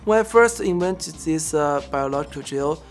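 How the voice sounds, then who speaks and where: A man from Zhejiang with a Chinese accent, a student researcher telling about himself and his work in halting, non-native phrasing. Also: on the high side, lively intonation, faint music behind. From Zhejiang, China. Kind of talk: interview-style personal and project account.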